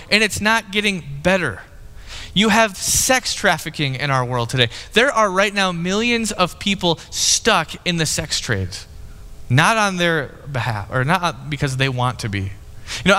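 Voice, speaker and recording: Bright high-voiced man preaching in a hall. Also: clean tone. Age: 30s. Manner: conversational, candid, with frequent restarts and "like". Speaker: pastor. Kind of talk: sermon.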